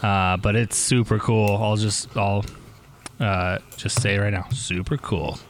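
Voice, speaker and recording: A man's voice: on the low side, steady tone, a little slow, slight background noise. Easygoing man co-hosting a podcast. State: voice partly lost, recovering. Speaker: podcast co-host. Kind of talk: casual chat.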